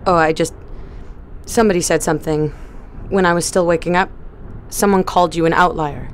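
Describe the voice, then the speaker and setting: A woman acting in an audio drama as a hesitant, curious newcomer just out of cryosleep, speaking in a tentative, halting way. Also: lowish voice, steady tone, a little hiss or hum, lightly acted. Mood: uneasy, tentative.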